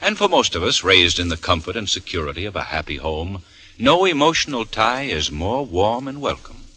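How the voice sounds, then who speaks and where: A man in a low but bright, ringing voice narrates with grave, measured weight, sinking to a hush. His pitch moves widely. Faint hiss from an old broadcast lies under it. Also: sing-song. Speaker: drama narrator. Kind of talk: solemn scripted narration, 1940s radio.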